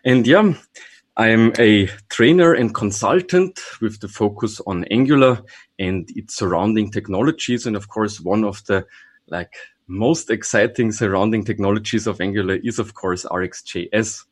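Guy on a podcast, husky low voice, chill and a bit rambly. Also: moderate pace. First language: German. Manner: relaxed, thinking aloud with restarts and small laughs. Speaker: guest, trainer and consultant. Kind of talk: casual podcast interview.

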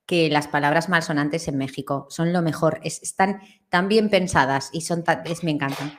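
A woman's voice: sits low, normal speed. A woman from Spain, a singing teacher, chatting enthusiastically as a guest with a Spanish accent. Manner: enthusiastic, expressive. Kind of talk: casual interview chat.

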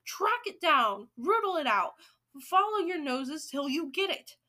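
A woman voicing a tyrannical, scornful Grand High Witch in a storybook, commanding and screeching. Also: moderate pace, pushed and bright. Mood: furious.

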